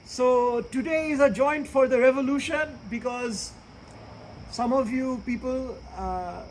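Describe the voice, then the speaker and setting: High-voiced Bangladeshi man joking around. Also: measured pace, a little hiss or hum, low-quality recording. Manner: profane, joking, switches between English and Bengali. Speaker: comedian host. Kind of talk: casual comedic chat.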